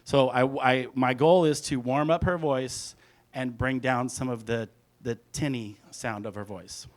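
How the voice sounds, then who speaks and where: A man's voice: medium pitch, steady tone, a little slow. A man, a music producer, giving an informal, rambling hands-on presentation, talking through each step aloud as he goes. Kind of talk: casual hands-on audio production talk.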